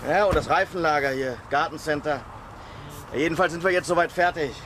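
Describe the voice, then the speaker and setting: A man's voice: on the high side, a little fast, background noise, close to an everyday voice. An actor playing a matter-of-fact male fire chief who talks colloquially at an outdoor scene in a radio crime drama.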